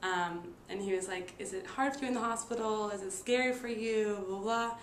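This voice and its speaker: A woman in her twenties telling a personal story casually to camera, halting and stuttering with repeated sounds, blocks and frequent fillers. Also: medium pitch. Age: 20s. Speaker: nursing student and stuttering therapy client. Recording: roomy echo with noticeable background noise.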